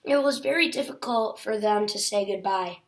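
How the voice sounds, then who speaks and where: A woman's voice: medium pitch, normal speed. A woman reading a children's picture book aloud as a storyteller.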